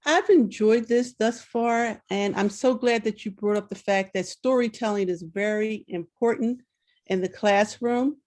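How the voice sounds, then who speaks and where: A woman's voice: mid-range voice, measured pace, darkish tone. A woman audience member commenting in an online discussion, enthusiastic and repeating words for emphasis. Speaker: audience member asking a question.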